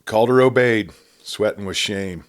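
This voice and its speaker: A man reading a Western novel aloud as a storyteller, with a measured, steady delivery. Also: lowish voice, clear, resonant tone. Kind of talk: audiobook narration of a Western.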